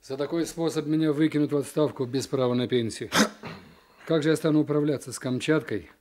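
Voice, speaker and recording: An actor playing a gruff, wry district chief in a radio play, questioning briskly with dry authority. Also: male voice, on the high side, darkish tone, lightly acted. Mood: businesslike, exasperated.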